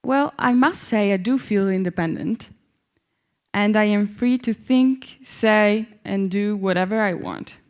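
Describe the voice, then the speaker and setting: A woman's voice: medium pitch, measured pace, a little mellow, lightly acted. A young woman reading the part of an independent-minded, hopeful Iranian woman in a staged play reading. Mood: matter-of-fact. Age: young adult.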